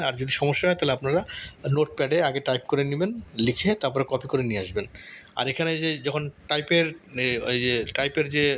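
A man giving a casual step-by-step software tutorial, often repeating himself and checking in with listeners. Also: fairly high pitch. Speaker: tutorial host. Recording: noticeable background noise.

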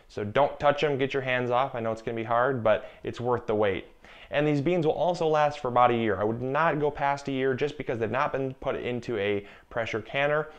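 A man's voice: mid-range voice, dull audio. A young man in his twenties, chatty and instructive, talking through a canning demonstration in a home kitchen on a lapel mic. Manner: chatty, instructive, repeats key warnings. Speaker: gardening channel host. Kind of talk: casual cooking demonstration.

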